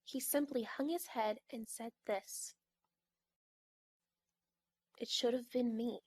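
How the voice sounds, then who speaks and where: Woman as a high-voiced solemn storyteller. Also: slow, darkish tone, slightly rough, no room sound, clean studio sound. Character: solemn female storybook narrator. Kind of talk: scripted story narration.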